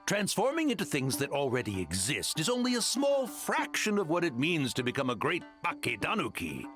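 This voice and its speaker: A man voicing a proud, excitable tanuki mentor in a video game, with a theatrical, blustering and suspicious delivery. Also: fairly high pitch, a little fast, faint music behind. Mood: proud, instructive. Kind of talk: English video game voice acting.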